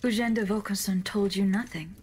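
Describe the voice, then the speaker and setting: Woman playing a husky, solemn royal servant. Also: mid-range voice, steady tone, darkish tone, lightly acted. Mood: serious, probing. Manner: measured, terse, solemn questioning. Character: loyal, formal, reserved female servant of the Queen. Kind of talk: dramatic video game dialogue.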